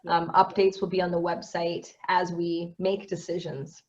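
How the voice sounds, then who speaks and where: A woman's voice: fairly low pitch, level tone, unhurried, darkish tone. Recruitment officer, woman, muffled webinar audio. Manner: conversational, hedging with fillers. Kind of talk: webinar presentation.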